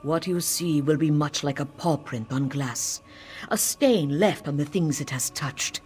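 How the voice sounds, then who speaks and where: A man voicing a solemn cat-folk mystic in a video game, giving measured, urgent guidance. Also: on the high side, faint music behind, close to an everyday voice. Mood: grave urgency. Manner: grave, measured, instructive. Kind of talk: scripted video game quest dialogue.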